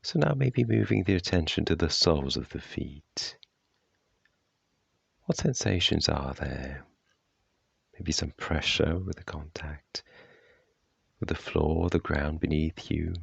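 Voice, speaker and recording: A man guiding a body scan meditation with gentle, unhurried, measured phrasing and long pauses. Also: very deep voice, creaky, slightly rough, no echo, muffled recording. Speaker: meditation guide.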